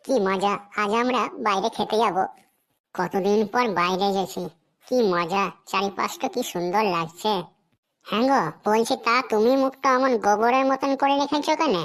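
A woman's voice: medium pitch, moderate pace, fairly bright tone, natural voice. A woman voicing a nagging, demanding, gullible wife in a comedy cartoon, with a theatrical scolding manner and a rural western Bengal accent. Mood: delighted. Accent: rural western Bengal Bengali dialect. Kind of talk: comic cartoon dialogue.

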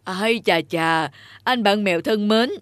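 A woman's voice: medium pitch, unhurried, a bit bright, slight background noise, natural voice. A woman voicing a boastful, irritable male sorcerer in a fairy-tale cartoon, haughty in manner. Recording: music behind.

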